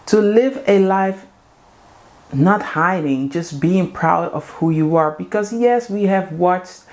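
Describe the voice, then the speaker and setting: A woman's voice: fairly low pitch, slow pace, darkish tone. A woman, hosting her own vlog and talking straight to her viewers in an earnest, heartfelt, chatty way with the odd "um". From Suriname-Creole family in the Netherlands. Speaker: vlog host. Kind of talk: casual direct-to-camera talk.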